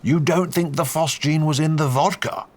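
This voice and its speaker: A man voicing a crotchety, brutal old duke who swears constantly in a gruff way. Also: on the high side, steady tone, normal speed, voice pushed up, lightly acted. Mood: scornful.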